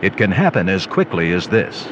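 A man's voice: deep voice, big pitch swings, normal speed. A man reading scripted instructional narration for a training film. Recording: dull lo-fi old videotape with noise.

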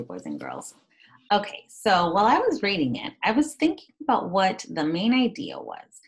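A woman in her thirties speaking as a teacher to young children, reading a picture book aloud and talking about it in simple phrases. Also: deep voice. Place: small indoor room at home.

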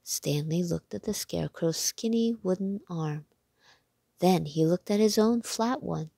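A woman narrating a children's storybook aloud in a measured, clearly phrased way. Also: lowish voice, mellow, no room sound, clean studio sound.

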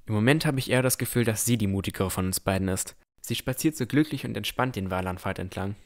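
Ein Mann spielt einen vorsichtigen jungen Entdecker, der sein Fantasy-Abenteuer bedacht und ernsthaft in der Ich-Form erzählt. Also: male voice, lowish voice, fast talker, natural voice. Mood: calm narrating. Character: cautious, thoughtful young male explorer narrating his adventure. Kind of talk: first-person scripted narration in fantasy audio drama.

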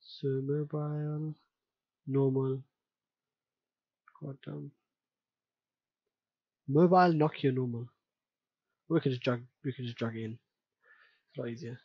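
A man giving an unscripted software tutorial in a casual way, thinking aloud step by step as he works. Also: medium pitch, fairly even intonation, unhurried, pauses often, slightly rough, dry recording, highs cut off. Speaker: tutorial presenter. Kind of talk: unscripted screen-recorded software tutorial narration.

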